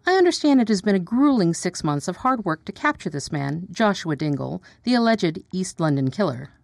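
A woman's voice: on the low side, sing-song, vocal fry, a little mellow, no room sound, clean studio sound. A woman playing a slick TV news anchor. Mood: probing. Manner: brisk, probing broadcaster delivery. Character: slick female TV news presenter. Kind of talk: radio drama acting.

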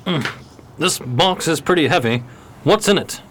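Man playing an anxious, high-pitched timid gentleman. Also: expressive, unhurried, slight background noise. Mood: hesitant. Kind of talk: 1920s crime radio drama.

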